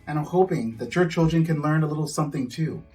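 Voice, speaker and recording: A man's voice: on the high side, quick pace, darkish tone, distant mic, faint music behind, low-quality recording. A man, an author, reading his children's storybook aloud to young listeners.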